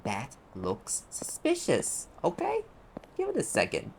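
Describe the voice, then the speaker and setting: A man's voice: mid-range voice, huge pitch swings, a little slow, vocal fry, very noisy, heavily acted. A man playing a sarcastic, impatient private eye mage in an audio roleplay, explaining things in a conversational, exasperated way. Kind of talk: audio roleplay acting, one side of a dialogue.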